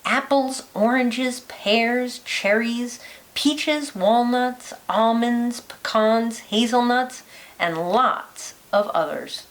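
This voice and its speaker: A woman reading a children's nonfiction picture book aloud like a teacher, with deliberate, lilting emphasis. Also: fairly high pitch.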